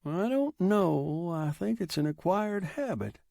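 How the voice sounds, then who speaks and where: A man's voice: high voice, animated, unhurried, gravelly, a little mellow, dry recording, studio quality, voice pushed up, heavily acted. A man voicing a fat old male pawnbroker in a story, tired, furtive and resigned. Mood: forlorn, explaining.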